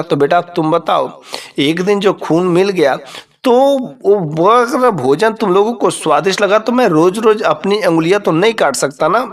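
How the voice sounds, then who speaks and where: A devoted old village mother played by a male storyteller in a folk tale, explaining herself earnestly and pleading with her sons. The voice is fairly high and a bit off the mic. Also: recorded from a distance, close to an everyday voice. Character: devoted, trusting elderly mother with weak eyes.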